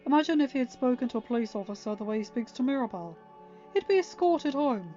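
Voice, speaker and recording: A woman's voice: a little high, dark tone, natural voice. With faint music behind, a woman plays a stern young storybook man in a slightly dull recording. The delivery is firm and lecturing, protective of family and insistent.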